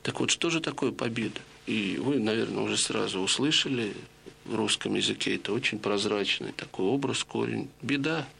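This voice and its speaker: A middle-aged man with a low, heavily gravelly voice that still carries a forceful, bright edge. He lectures in a measured, explanatory way, often pausing on hesitations. A faint hiss sits under the voice. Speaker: lecturer on radio. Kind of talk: reflective spoken lecture to listeners.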